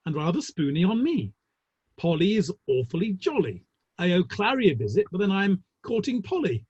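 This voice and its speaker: A man as a high, husky Victorian theatre manager. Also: full character voice. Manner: dry, considered, tactfully critical. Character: measured, businesslike Victorian theatre manager, male. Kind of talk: dramatic play reading. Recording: dull, roomy online-call audio.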